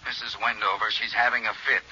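A man's voice: fairly low pitch, level tone, a little fast, bright, forceful tone. An actor playing an anxious, shaken, well-meaning office man with a hesitant, worried delivery in a 1950s radio drama. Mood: agitated, urgent. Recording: muffled, hissy vintage radio recording.

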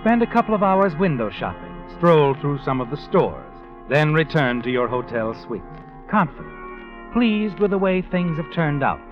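An actor playing a sly, knowing narrator who taunts the villainess in 1940s radio suspense style. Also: male voice, on the high side, sing-song, moderate pace. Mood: ominous. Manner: insinuating, taunting. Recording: muffled old radio recording with music.

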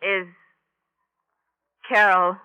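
A woman's voice: medium pitch, flat delivery, drawn-out words, sharp tone, clear, resonant tone. An actress playing a drunken, bitter party girl in a radio crime drama, with slurred, rambling talk. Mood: dazed, grieving. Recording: muffled old radio broadcast recording.

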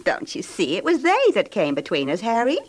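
An actress playing a soothing but possessive, controlling wife in an old time radio drama, coaxing and insistent. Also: female voice, mid-range voice, wildly swooping pitch, fairly quick.

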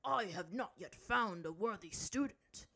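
A stern martial master acted out by a woman in a storybook reading. He speaks in a flat, tense way, curt and strict with every phrase. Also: medium pitch, unhurried, creaky, exaggerated voice.

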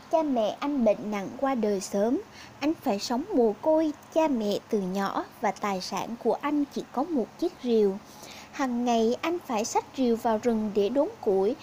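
A woman's voice: on the high side, mellow, a little hiss or hum. A woman narrating scripted fairy tales for young children as a storyteller.

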